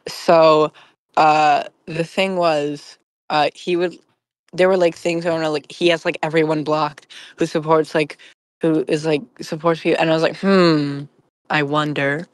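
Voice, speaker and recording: A podcast panelist chatting casually in a group, telling things in a halting, rambling way. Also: mid-range voice, little pitch movement, smooth, dry recording.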